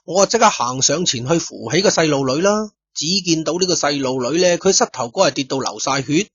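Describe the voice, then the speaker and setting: A man's voice: fairly high pitch, brisk, husky, no room sound. A man telling a detective story in first person, speaking straight to his listeners with chatty asides. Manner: chatty, addresses listeners directly, lively asides. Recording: dull lo-fi dry studio recording.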